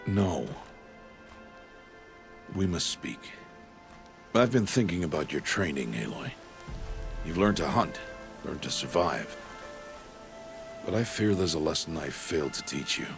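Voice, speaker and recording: A stern outcast mentor in a game, his very low voice deep and grave. He speaks in solemn, terse lines over soft music. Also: expressive, a little slow, vocal fry, long pauses, full character voice. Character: gruff middle-aged male outcast mentor, stern. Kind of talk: video game cutscene acting.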